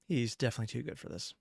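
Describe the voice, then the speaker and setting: A man's voice: medium pitch, creaky, a little mellow, a little hiss or hum. A man giving casual reaction commentary as a video host, emotive and often exasperated.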